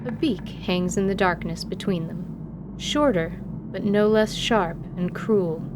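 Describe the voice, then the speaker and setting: A woman reading scripted narration for a fantasy audio drama in a measured storyteller's manner. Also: mid-range voice, noisy background.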